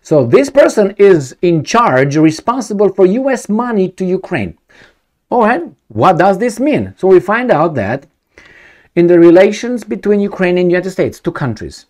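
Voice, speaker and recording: A man's voice: high voice, darkish tone. Emphatic middle-aged man. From Romania. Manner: emphatic, repetitive, full of rhetorical questions. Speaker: video commentator. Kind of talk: casual to-camera commentary. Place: home study with bookshelves, indoors.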